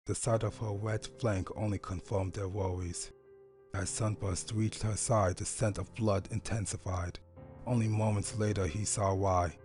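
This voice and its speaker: A man's voice: fairly low pitch, dark tone. A man reading a scripted story aloud as its narrator. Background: faint music.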